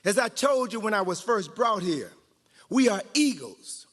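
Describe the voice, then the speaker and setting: Man doing a storybook eagle leader, proud, rousing oratory, sweeping pitch, echoing hall. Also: big pitch swings, measured pace, fairly bright tone, exaggerated voice. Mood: proud, preaching. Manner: preacher-like oratory, bold conviction. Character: proud, wise great eagle rallying its kin. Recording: echoey hall with long reverb.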